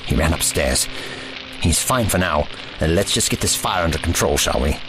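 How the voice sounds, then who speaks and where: A man playing an eccentric, courteous investigator in an audio drama, calm and analytical, with a formal, polite manner. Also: very deep voice, music in the background, low-quality recording. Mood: reassuring. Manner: polite, formal, measured authority.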